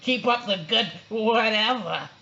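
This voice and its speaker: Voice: mid-range voice, gravelly, sharp tone, lo-fi sound, pitched above the performer's own voice. A storyteller voicing a genial, easygoing, chortling sloth school principal in a children's book. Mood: amused, lazy. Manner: slow, chortling.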